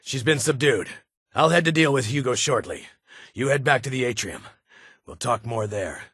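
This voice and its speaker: An actor playing a stern, weary security chief in a video game, with a husky voice and a formal, clipped, authoritative manner. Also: male voice, mid-range voice, lively intonation, normal speed, fairly bright tone, no room sound, clean studio sound. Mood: urgent, commanding. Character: stern, weary male vault security chief, guarded but honorable.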